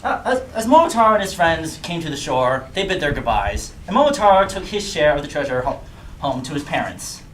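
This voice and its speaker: A man's voice: a little high, very animated, a little fast, recorded from a distance, slight background noise. A man telling a comic tale at a live puppet show, theatrical and joking. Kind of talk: animated comic storytelling to an audience. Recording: echoey room, music behind.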